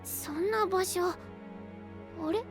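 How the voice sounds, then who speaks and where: A man voicing a polite, timid young male knight in an anime voice drama, speaking in a soft, earnest way. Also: very high-pitched, slow, over music.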